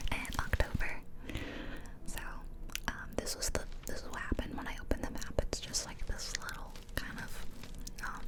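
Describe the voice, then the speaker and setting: A woman speaking in a soft, breathy ASMR whisper close to the mic from ear to ear, rambling casually and gently over game footage. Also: poor audio. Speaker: ASMR video creator. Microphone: close ear-to-ear stereo microphone.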